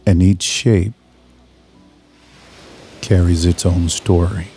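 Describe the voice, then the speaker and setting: A man with a deep, mellow voice leads a guided meditation. He speaks extremely slowly, in short, soothing phrases with long pauses, over background music. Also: flat delivery. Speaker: guided meditation and hypnosis narrator. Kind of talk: slow scripted guided meditation.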